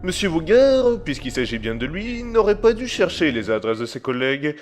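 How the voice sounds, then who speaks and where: A man as a calm, scheming crime boss; coldly formal, animated pitch, slightly high, music bed. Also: moderate pace. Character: cold scheming male crime boss, middle-aged. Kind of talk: amateur audio drama acting. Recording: music underneath.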